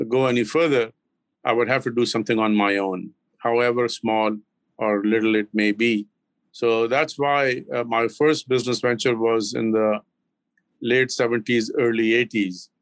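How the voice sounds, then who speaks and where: A man's voice: medium pitch, a bit bright, dry recording. Older Pakistani-accented man, close dry sound. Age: 60s or older. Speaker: interview guest, business owner. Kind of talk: reflective interview talk.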